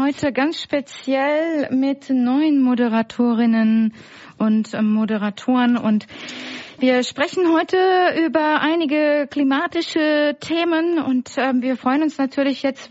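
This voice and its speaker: Woman radio host, slightly high voice, halting casual moderation, muffled studio recording. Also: darkish tone. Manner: hesitant, many fillers. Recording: dull lo-fi radio sound.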